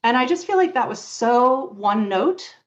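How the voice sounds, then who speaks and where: Woman in muffled, low-fidelity audio, chatting casually. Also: animated, measured pace. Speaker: podcast guest, fan fiction author. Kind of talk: casual conversational interview.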